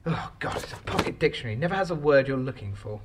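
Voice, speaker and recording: An actor playing a hapless, aggrieved jilted husband in a comedy audio drama, bewildered, muttering and blustering. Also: male voice, medium pitch, lively intonation, quick pace, background noise, natural voice. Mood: muttering, distracted. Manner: muttering to himself, then blustering.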